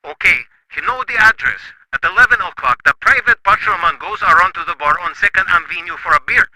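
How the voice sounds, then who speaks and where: A man voicing a cold criminal boss in a radio play, his voice high and very bright. He lays out orders in a flat, businesslike, commanding way. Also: a little fast, heavily acted, low-quality recording. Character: cold male criminal giving murder orders.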